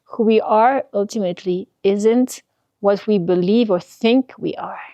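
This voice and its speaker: A woman leading a guided spiritual meditation, speaking in a soothing, deliberate way with pauses between short phrases. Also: mid-range voice, warm tone, no echo, dull audio.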